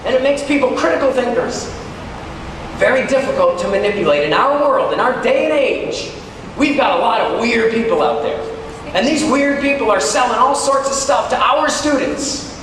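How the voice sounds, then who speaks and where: A man with a high voice gives a keynote speech in a conversational yet dramatic way, stretching key words for emphasis. He sounds distant from the microphone in a big, heavily echoing hall, with clear background noise. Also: very animated, normal speed, a bit bright. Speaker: keynote speaker, teacher. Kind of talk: motivational keynote speech to teachers. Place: large hall at a teachers' conference. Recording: very echoey hall, distant, noisy.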